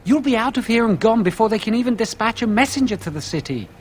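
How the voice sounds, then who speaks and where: A man's voice: sits high, lively intonation, fast talker, a little hiss or hum. An actor playing an earnest young male mage apprentice in a video game, urgent and persuasive. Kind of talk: scripted video game dialogue.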